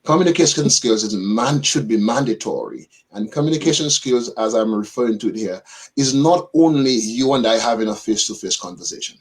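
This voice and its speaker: Animated, methodical man on a radio panel. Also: medium pitch, poor audio. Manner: measured and methodical, laying out points in order. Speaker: guest. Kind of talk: panel discussion on a live radio show.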